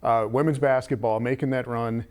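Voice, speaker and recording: A man's voice: medium pitch, fairly even intonation, normal speed, darkish tone. A man hosting a sports talk show, moving between casual chat and presenting to viewers.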